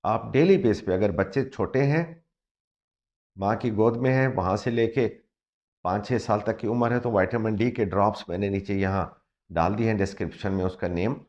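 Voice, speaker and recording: A man talks as a doctor giving health advice, his voice sitting low and his throat slightly sore. He explains things earnestly and switches into English to stress a point. The sound is muffled and lo-fi. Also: normal speed, darkish tone. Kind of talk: health advice talk to camera. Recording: muffled lo-fi recording.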